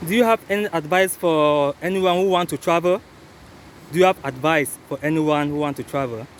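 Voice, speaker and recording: A man's voice: a little high, moderate pace, slight background noise. A man interviewing in English, asking short questions with brief acknowledgements.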